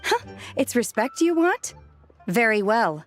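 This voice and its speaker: A woman's voice: a little high, sing-song, measured pace, faint music behind. A woman playing a composed, stern adult woman in a video game, formal and controlled. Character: composed, stern woman scolding an unruly younger one. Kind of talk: video game cutscene acting.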